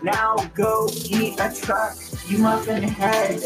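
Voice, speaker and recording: A man plays a playful, complaining joker in game banter, using a voice changer. The delivery is slow and singsong, drenched in echo with loud music behind. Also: sits high, completely flat delivery. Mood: mock complaint. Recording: echoey, loud music over voice.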